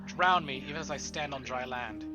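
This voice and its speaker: A man voicing a jealous, haunted married narrator of a gothic horror visual novel, reading in a deliberate, theatrical way. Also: mid-range voice, natural voice. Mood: dread. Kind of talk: dramatic first-person story reading. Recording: loud music behind the voice. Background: loud game music.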